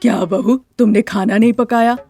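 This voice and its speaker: A woman's voice: on the high side, fairly even intonation, moderate pace, gravelly, warm tone. A woman voicing a scheming, stingy middle-aged mother-in-law in a story, brazen and bossy, with music behind.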